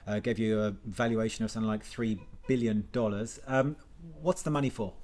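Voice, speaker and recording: A man hosting a video interview, asking his questions in a quick, conversational way with some hesitations. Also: fairly low pitch, steady tone, darkish tone. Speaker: interview host.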